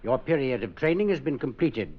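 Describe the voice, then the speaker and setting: An actor playing a formal official who runs a research program in a 1950s radio drama. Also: male voice, mid-range voice, very animated, close to an everyday voice. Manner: formal, measured. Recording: dull old radio broadcast.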